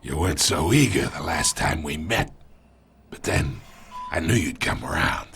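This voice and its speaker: An actor playing an aging, smug mob boss in a video game, with a slow, taunting drawl. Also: male voice, lively intonation, a bit bright, a little hiss or hum, exaggerated voice. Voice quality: gravelly, low drawl. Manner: slow, taunting, chuckles between lines.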